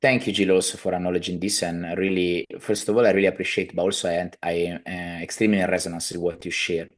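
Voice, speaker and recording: A man's voice: low-pitched, steady tone, fast talker. A man in his thirties with an Italian accent, explaining his healing practice conversationally as an interview guest on a video call. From Italy. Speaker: podcast guest, healer, family constellations practitioner. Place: living room at home, indoors, video call.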